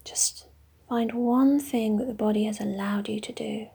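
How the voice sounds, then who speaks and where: A woman leading a guided meditation in a slow, soft, mostly whispered voice. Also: mid-range voice, steady tone, vocal fry, husky.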